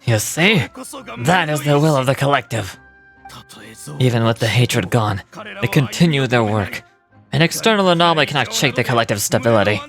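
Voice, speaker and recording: A man's voice: medium pitch, animated, normal speed. A man acting out an arrogant, cold video game villain who rules a hive mind, speaking in a pompous, formal, declamatory way. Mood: zealous. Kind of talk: live amateur voice acting of game dialogue. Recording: loud game music behind. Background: game music.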